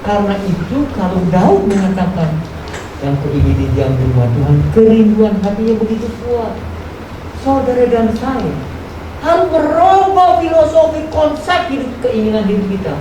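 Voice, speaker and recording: Casting: woman preacher in her 70s, higher-pitched voice, exhorting a congregation with repeated phrases, in a very reverberant room. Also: expressive, moderate pace. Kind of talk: sermon to a congregation. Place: large echoey room at a live church service. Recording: very echoey, distant, noisy live church recording.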